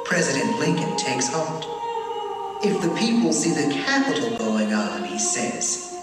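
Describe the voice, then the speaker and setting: Echoey, distant male American documentary narrator. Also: fairly high pitch. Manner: solemn, measured, dramatic delivery. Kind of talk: scripted historical narration. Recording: very echoey large hall, distant, music behind. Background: loud music.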